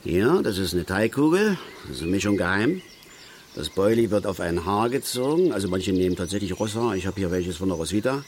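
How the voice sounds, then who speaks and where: A middle-aged family man and angler in a radio play, swinging widely in pitch as he rambles on. He is self-important and wounded, talking in colloquial asides over faint outdoor nature ambience. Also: animated, a little fast, a little hiss or hum. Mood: lecturing. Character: aggrieved middle-aged male angler and family father, self-righteous, garrulous. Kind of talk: naturalistic German radio drama monologue and dialogue.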